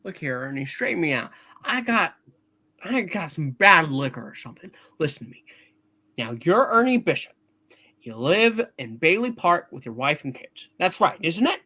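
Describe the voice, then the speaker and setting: A woman voicing a frantic, bewildered, exasperated family man, husky, in a hammed-up amateur play reading. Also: sits low, sing-song, normal speed, bright, forceful tone, pitched below the performer's own voice, full character voice. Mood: pleading.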